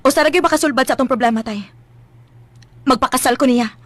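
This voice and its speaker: An actress playing a feisty, self-sacrificing young daughter in a Filipino radio drama, resolute and earnest. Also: female voice, sits high, fast talker, no echo. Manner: resolute, earnest, a little sassy. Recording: music bed behind.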